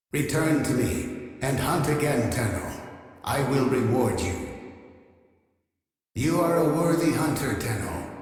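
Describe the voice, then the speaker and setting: An actor playing an obsessive, imperious keeper of a digital archive in a video game, speaking in a formal, pompous, measured way. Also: male voice, medium pitch, roomy sound. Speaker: video game quest-giving character. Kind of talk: scripted video game character dialogue.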